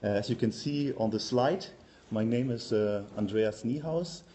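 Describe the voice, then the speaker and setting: A man in his forties with a German accent, giving an academic conference talk in a hesitant, halting manner with frequent pauses. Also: medium pitch, warm tone. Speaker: university professor, conference speaker. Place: lecture room, indoors.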